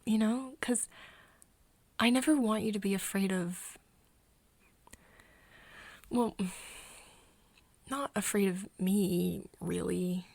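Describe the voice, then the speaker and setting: Soft, breathy woman acting a caring young friend. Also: medium pitch, no room sound. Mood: gentle, reassuring. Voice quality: soft, breathy, often dropping into whispers. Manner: hesitant, halting, gently coaxing. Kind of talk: ASMR roleplay, intimate second-person acting.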